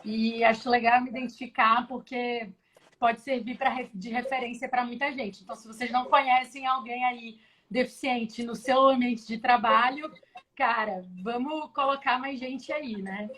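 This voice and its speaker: A woman's voice: on the high side, normal speed, low-quality recording. A woman from Belém in Brazil, speaking, chatting casually and explaining ideas over a video call. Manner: relaxed, explanatory, frequent restarts. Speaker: guest, tech professional. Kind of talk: casual live chat.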